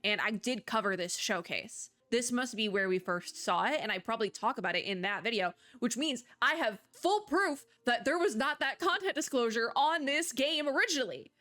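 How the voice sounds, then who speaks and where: A woman's voice: a little high, animated, moderate pace, few pauses, fairly bright tone. A woman talking casually and off the cuff as a video game host, in a chatty, rambling, informal way. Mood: annoyed. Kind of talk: casual unscripted game commentary.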